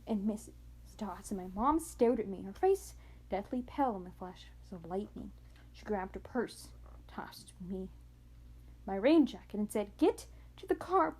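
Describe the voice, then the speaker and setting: High female voice, amateur audiobook reading, stumbles and repeats, children's novel. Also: a little mellow. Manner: halting, often stumbles and rereads words.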